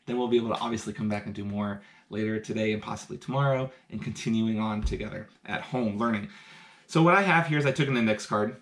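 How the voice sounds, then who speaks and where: A man's voice: medium pitch, a bit bright. Chatty man explaining science experiments at home. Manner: enthusiastic, chatty, explains step by step while doing experiments. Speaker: science teacher. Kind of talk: live-streamed science demonstration. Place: home, indoors.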